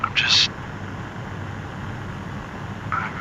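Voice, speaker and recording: An actor playing a wounded, medicated, needy man in an audio drama, blunt and breathily pleading over a call. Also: extremely slow. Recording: muffled, noisy call with engine drone.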